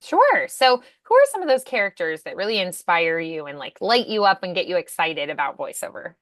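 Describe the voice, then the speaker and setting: A woman's voice: lowish voice, sing-song, a little fast, no echo, studio quality. A woman coaching a student in a casual one-on-one conversation, with an encouraging, affirming manner. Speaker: voice acting coach.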